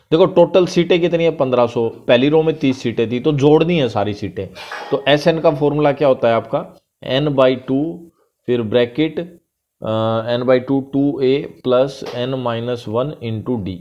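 Indian guy doing a math tutorial, slow careful explaining, kinda muffled mic. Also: mid-range voice, a little mellow. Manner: explains step by step, reads English question lines slowly. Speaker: math teacher. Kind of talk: screen-recorded math lesson.

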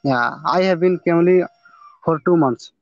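A young man with an Indian accent chats as an English learner, piecing together simple, halting phrases. His voice sits slightly high. The lo-fi call audio is dull and dry, captured outdoors on a phone. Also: no echo. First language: not English. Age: young adult. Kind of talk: casual practice conversation. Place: outdoors in a garden. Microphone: phone at arm's length, video call.

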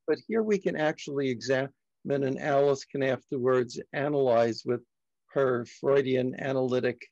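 Older male host from Brooklyn, Yiddish first language, gravelly and deliberate, on a slightly muffled video call. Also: medium pitch, dark tone, dry recording. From Brooklyn, New York. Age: older, about 70s. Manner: halting, deliberate, frank. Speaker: discussion host. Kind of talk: reflective group discussion. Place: dim home study, indoors. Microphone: computer on video call.

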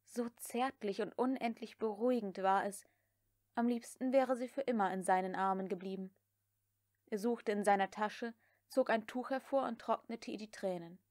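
A woman's voice: mid-range voice, normal speed, a little mellow, dry recording, clean studio sound. A woman reading scripted literary prose as an audiobook narrator.

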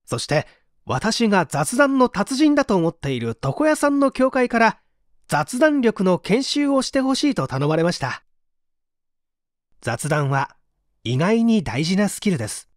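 An audiobook narrator reads scripted prose in clearly phrased, measured sentences. The pitch moves up and down in a lively way, and the sound is dry, free of room echo. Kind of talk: scripted book reading.

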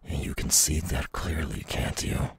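Here is a menacing demon villain for an ASMR audio roleplay. His voice is extremely low, rasping with heavy fry and breath. He gloats and threatens at a slow pace, like a predator holding back his hunger. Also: fairly even intonation, full character voice. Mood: hungry, gloating. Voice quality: very husky, gravelly, breathy.

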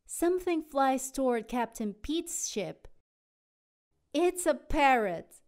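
A woman narrating a children's storybook aloud in an expressive, dramatic way. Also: high-pitched, slow pace. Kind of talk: animated children's read-aloud.

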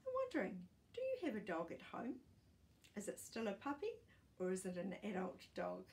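A woman's voice: mid-range voice, huge pitch swings, a little fast, a little mellow. A woman in her sixties reads a children's fact book aloud as a storytime host, speaking carefully and simply for young listeners. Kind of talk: reading a children's non-fiction picture book aloud. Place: small living room, indoors. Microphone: camera a short distance away.

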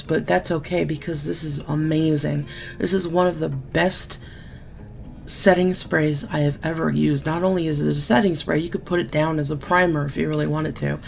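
Low gravelly-voiced sick woman vlogging. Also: a little mellow. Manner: chatty, candid, mildly sarcastic. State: sick, losing her voice, deep cough. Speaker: beauty vlogger. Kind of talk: casual product unboxing chat. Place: small room at home, indoors.

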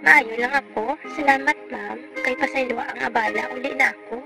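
A woman's voice: sits high, moderate pace, gravelly. A woman playing a troubled, apologetic young student in an amateur radio drama. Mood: pleading. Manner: pleading, apologetic. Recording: loud music behind the voice.

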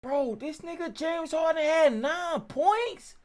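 A man's voice: extremely high pitch, animated, slow pace. A young American man giving casual, slangy reaction commentary, repeating phrases in disbelief into a close studio microphone. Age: young adult. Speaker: reaction streamer. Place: small home studio room. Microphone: close boom studio microphone.

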